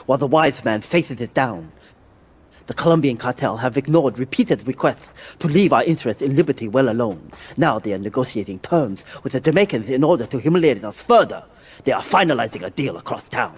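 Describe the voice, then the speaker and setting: Proud yakuza boss, expressive delivery. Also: male voice, mid-range voice, slightly rough. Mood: stern, commanding. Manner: formal, haughty, measured. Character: proud, stern, formal Japanese yakuza boss. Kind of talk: video game cutscene acting.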